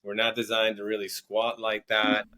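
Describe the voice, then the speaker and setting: Guy with a lowish voice guesting on a podcast, explaining stuff casually, repeats words a lot. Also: monotone, normal speed, pushed and bright. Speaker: podcast guest, entrepreneur and coach.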